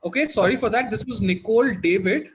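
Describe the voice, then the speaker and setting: A man's voice: high voice, fast, a little hiss or hum. A man working as a quizmaster, reading out questions and hosting in a conversational way.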